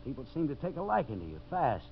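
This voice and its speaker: A brash timber boss, swooping delivery. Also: male voice, mid-range voice, huge pitch swings, fast talker. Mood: brisk, confident. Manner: commanding, persuasive salesman patter. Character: brash, fast-talking male timber promoter, slick boss and schemer. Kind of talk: 1950s radio drama acting. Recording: dull, hissy 1950s radio broadcast recording.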